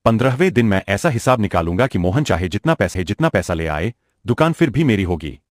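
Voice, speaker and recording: A man's voice: lowish voice, sing-song, fairly quick, dry recording, studio quality. A man playing a menacing, greedy village moneylender with a domineering, threatening manner in a cartoon moral story. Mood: angry scheming. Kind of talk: Hindi animated moral story dialogue.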